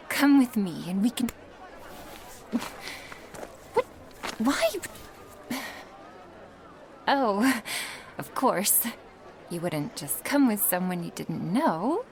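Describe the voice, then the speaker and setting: A woman playing a flustered, earnest young witch who reads tarot, in an ASMR roleplay with a halting manner. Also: fairly high pitch, slight background noise, full character voice. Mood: excited, eager. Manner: halting, breathy asides, nervous little laughs and sighs. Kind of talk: ASMR roleplay acting, addressed to the listener.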